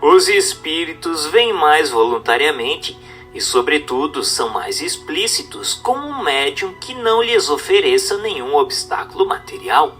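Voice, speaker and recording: A man's voice: fairly high pitch, sing-song, measured pace, bright, forceful tone, faint music behind. A man with a Brazilian accent reading a book aloud as scripted narration, in a formal, didactic manner. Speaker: audiobook narrator.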